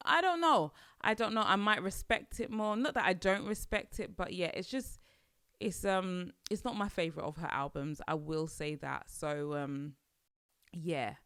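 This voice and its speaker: Low smooth-voiced woman podcast host. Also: moderate pace, fairly bright tone, no echo, clean studio sound. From Nigeria. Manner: chatty, musing, hesitant restarts. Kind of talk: casual solo podcast chat.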